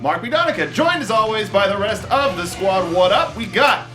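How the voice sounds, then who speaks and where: A man's voice: high voice, expressive, normal speed, sharp tone, faint music behind. A man with an American accent hosting a movie review show, switching between upbeat announcing and casual panel chat.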